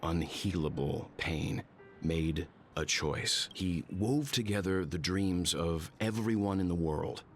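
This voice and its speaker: A man's voice: low-pitched, slow, soft background music. An actor playing a wise, world-weary traveler in a game, telling his story in a grave and thoughtful way. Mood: solemn. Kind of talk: scripted game dialogue, solemn storytelling.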